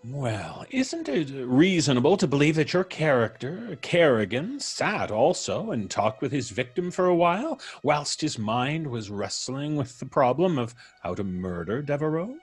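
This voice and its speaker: Old-time radio mystery visitor: husky, animated intonation, polite and probing, deliberate, a needling threat underneath. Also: male voice, on the high side, full character voice. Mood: musing, needling. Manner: deliberate, musing with frequent hums, needling. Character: polite, probing, quietly menacing male visitor.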